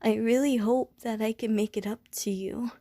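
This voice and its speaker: A woman playing a gentle, anxious, lonely Medusa who is wary of humans, speaking in careful, formal wording for an ASMR audio roleplay. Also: a little high, steady tone, normal speed, dry recording. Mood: nervous apology. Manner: formal, careful, stilted wording, pleading and apologetic.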